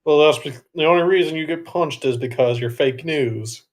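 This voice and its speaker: A man in his mid-twenties co-hosting a casual livestream chat with deadpan trolling jokes. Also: on the high side, normal speed. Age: 20s. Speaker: podcast co-host.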